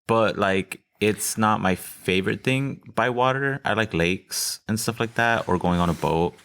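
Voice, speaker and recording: Guy from mexico with a really low voice, chatty podcast cohost, rambles a lot. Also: a little slow, fairly bright tone, smooth. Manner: chatty, laughs easily, rambling asides. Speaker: podcast co-host. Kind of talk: casual chat.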